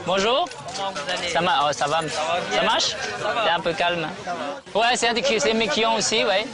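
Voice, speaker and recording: Higher-voiced man chatting in a noisy market. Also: a little slow. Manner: choppy phrases full of verbal tics. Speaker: shoe wholesaler. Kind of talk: casual chat. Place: outdoors in a busy market. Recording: loud outdoor market crowd, music.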